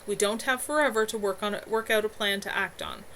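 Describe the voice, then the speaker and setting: A woman reading a calm, authoritative woman's lines, measured and explaining. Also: medium pitch, a little hiss or hum, lightly acted.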